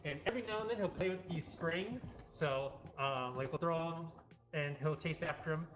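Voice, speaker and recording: A woman's voice: sits low, normal speed. A woman co-hosting a cat cafe livestream with casual, unscripted chat indoors. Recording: roomy, dull livestream sound with music. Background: background music.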